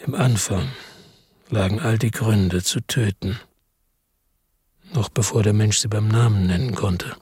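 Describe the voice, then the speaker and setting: A man's voice: fairly low pitch, unhurried, pauses often, darkish tone, breathy edge, no echo, studio quality. A man narrating a radio drama in the first person, brooding and introspective.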